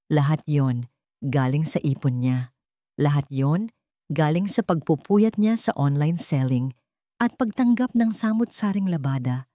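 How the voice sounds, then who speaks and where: A woman with a low voice narrates an audiobook drama from a script. The recording is close and dry, with very little room sound. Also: dark tone, no room sound.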